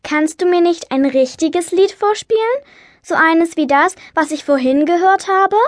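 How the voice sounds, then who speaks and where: A woman's voice: extremely high pitch, a little slow, no echo, studio quality. A woman playing a curious, polite little witch girl in a children's audiobook, asking things eagerly. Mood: pleading, eager. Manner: bright-eyed, inquisitive questioning.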